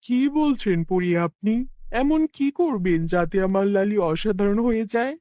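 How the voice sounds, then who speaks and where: A man's voice: high-pitched, expressive, a little slow, dark tone, no echo. A man voicing a poor, kind farmer who dotes on his cow, in an earnest, exclamatory cartoon fairy-tale style. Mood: puzzled.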